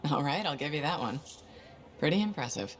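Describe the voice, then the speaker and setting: A woman's voice: low voice, lively intonation, a little fast. An actress playing a confident, easygoing female freelancer hero in a video game, with a casual, dry manner. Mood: cocky. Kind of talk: video game cutscene acting.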